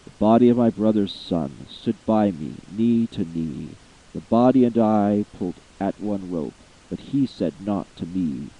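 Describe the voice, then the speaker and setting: A man giving a slow, theatrical reading of a long narrative poem, swelling with feeling at the high points. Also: animated, mellow, dull audio. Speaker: amateur voice actor reading poetry. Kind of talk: dramatic poetry reading.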